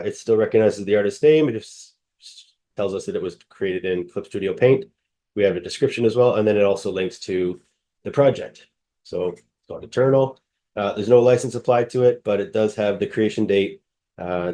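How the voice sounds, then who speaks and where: A man's voice: lowish voice, warm tone. Nasal man with a head cold. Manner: casual, hesitant, many fillers and restarts. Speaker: software demonstrator. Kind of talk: screen-share software walkthrough.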